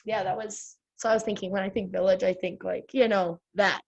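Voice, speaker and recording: A woman's voice: mid-range voice, fairly even intonation, a little fast, vocal fry, a little mellow, dull audio, slight background noise. An American woman hosting a podcast, chatting casually, rambling and laughing often. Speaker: podcast host. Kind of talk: casual chat.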